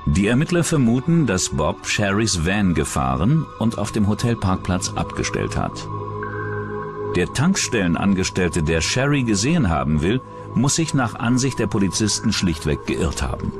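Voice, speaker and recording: A man with a low voice delivers scripted true-crime documentary narration. His pitch moves in lively swings, and music plays clearly behind him. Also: moderate pace.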